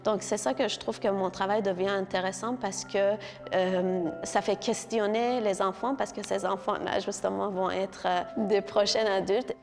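A woman's voice: medium pitch, fairly even intonation, moderate pace, a little mellow, soft background music. Earnest woman in an echoing gallery. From Iran. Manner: earnest, hesitant with many filler sounds. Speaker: visual artist. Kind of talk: interview about her exhibition.

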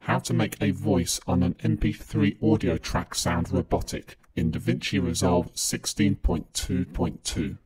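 A man whose voice is run through a pitch-shifted robotic filter, deep and raspy, speaking in a flat instructional way. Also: a little slow, hardly any pauses, noisy background.

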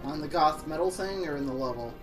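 A man's voice: fairly high pitch, quick pace, music in the background, poor audio. A young man co-hosting a let's play, switching between casual banter and mock-dramatic story reading in a sardonic way. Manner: sardonic, sweary. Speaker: let's play co-host reading fan fiction aloud.

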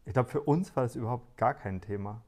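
A man in his forties talking in a relaxed interview about family life, thoughtful and full of small fillers and restarts, recorded on a lapel mic indoors. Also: mid-range voice, fairly quick. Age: 40s. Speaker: interviewee, father on a shared farm. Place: bright living room indoors.

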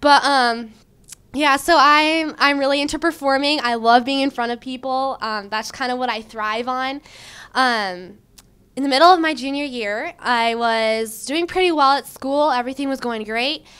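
Chatty young woman, smooth clear voice. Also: high voice, moderate pace. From Michigan. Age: young adult. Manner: chatty, animated, lots of likes and ums, gestures with her hands. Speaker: job candidate. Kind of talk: casual interview answers. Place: indoor room before a banner. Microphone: handheld mic held by the interviewer.

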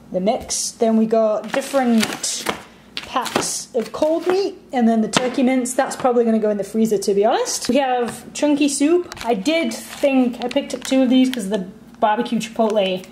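A woman's voice: on the high side, darkish tone. A young woman chatting informally to a camera in her kitchen, with dry asides. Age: young adult. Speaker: vlogger. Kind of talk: casual vlog chat. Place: home kitchen, indoors. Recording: echoey room, some background noise.

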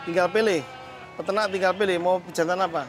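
High-voiced man touring his goat farm. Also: fast. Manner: relaxed, matter-of-fact, chatty. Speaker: goat farm owner giving a tour. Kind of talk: casual explanatory tour talk. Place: outdoor open-sided livestock barns. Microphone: lapel mic. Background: background music.

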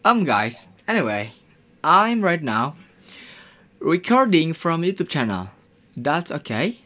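A man's voice: a little high, very animated, pushed and bright. A young man from Indonesia, chatting shyly in broken English on a webcam video call from a small room. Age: young adult. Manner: slow, friendly, a bit shy with broken English. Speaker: street-style singer chatting with strangers. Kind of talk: casual video chat. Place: small room indoors with blue curtain. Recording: acoustic guitar behind the voice.

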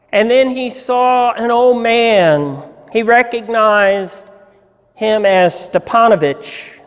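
A man in his sixties giving a deliberate, expressive dramatic reading of a story, with pauses, as a pastor in a church. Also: high-pitched. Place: church sanctuary, indoors. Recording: hall reverb in a church.

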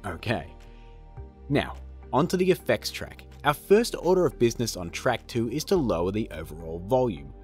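A man in his thirties hosts a software tutorial. He is chatty and self-deprecating, with hugely varied intonation over background music. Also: mid-range voice, big pitch swings, moderate pace. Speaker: host of tutorial videos.

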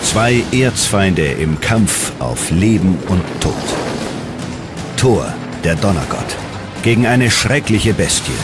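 Male documentary narrator, low voice, lively dramatic delivery, loud music underneath. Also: slow pace. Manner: dramatic, emphatic.

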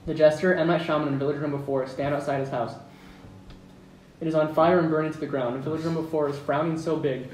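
A man's voice: a little high, steady tone, fast, a little mellow. A man reading stage directions as the narrator at a script table read. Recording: echoey room, distant mic, faint noise.

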